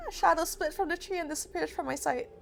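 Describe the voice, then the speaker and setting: A woman's voice: very high voice, quick pace, creaky, slight background noise. A woman game streamer reading a horror visual novel aloud, dramatically, with spontaneous asides. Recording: music behind the voice. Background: game music.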